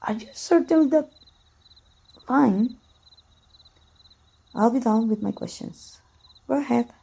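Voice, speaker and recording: A woman voicing a proud, teasing, wounded immortal warrior in an ASMR roleplay, with soft, breathy, archaic formal speech. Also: a little high, takes it slowly, exaggerated voice. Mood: uneasy, hesitant.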